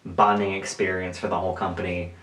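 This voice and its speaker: A man of twenty-five, co-hosting a podcast, telling stories in an animated way with lots of fillers and frequent laughs. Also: sits low, fairly quick. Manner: animated storyteller, laughs often, many fillers. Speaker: podcast co-host. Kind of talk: casual chat. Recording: roomy sound, mic at a distance.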